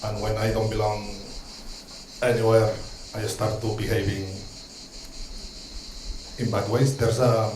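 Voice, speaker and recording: A man's voice: lowish voice, little pitch movement, a little slow, pauses often, roomy sound, background noise. A man from Catalonia speaking English with a Catalan-Spanish accent, giving a hesitant, earnest talk as a street social educator from notes. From Catalonia, Spain. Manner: hesitant, many ums and restarts, warm asides.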